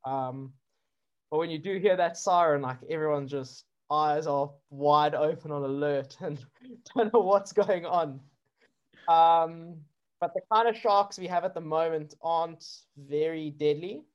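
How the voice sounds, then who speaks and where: A man's voice: on the high side, measured pace, clear, resonant tone, dull audio. A man from South Africa, a surfer who films with drones, chatting casually in an interview with lots of fillers. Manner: relaxed, chatty, many fillers and laughs.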